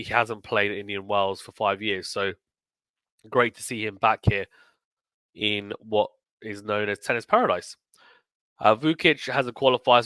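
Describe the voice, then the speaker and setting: Bright-voiced man giving casual sports commentary, slightly croaky. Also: on the low side, no echo. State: slightly croaky, recovering from illness. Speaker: sports YouTube host. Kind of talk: casual tournament preview commentary.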